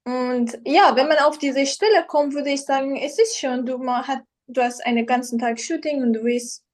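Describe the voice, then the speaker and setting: A young woman from Iran, speaking German she learned later, telling her story casually as an interview guest and often pausing to search for words. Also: high voice. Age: young adult. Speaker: guest, professional model.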